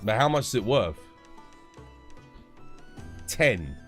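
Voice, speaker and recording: A man's voice: fairly low pitch, sing-song, takes it slowly, a bit bright, faint music behind. A man, stuffed up with a cold, casually chatting and answering viewers while streaming a game. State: congested, stuffed-up nose. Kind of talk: casual live-stream chat.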